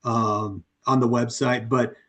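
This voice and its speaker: A man, a company co-founder, walking through a software demo in a loose, conversational webinar style, with frequent ums and you-knows, his voice wearing hoarse. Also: medium pitch, fairly even intonation, takes it slowly. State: voice going hoarse. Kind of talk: casual walkthrough of a software demo.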